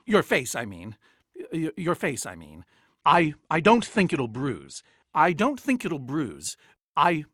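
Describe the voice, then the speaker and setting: Lonely oddball scholar from a video game, theatrical and halting, voice jumps all over, really dry sound. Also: male voice, a little high, a bit bright, husky, dry recording, full character voice. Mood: awkward, hesitant. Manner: pompous, wordy, halting, theatrical. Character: eccentric lonely man, a nervous scholarly architect obsessed with monsters. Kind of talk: video game character acting, theatrical monologue.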